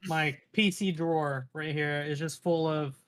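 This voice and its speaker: Laid-back man, slightly high voice. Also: steady tone, a little slow, a bit bright. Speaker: podcast co-host. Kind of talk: casual gaming podcast chat.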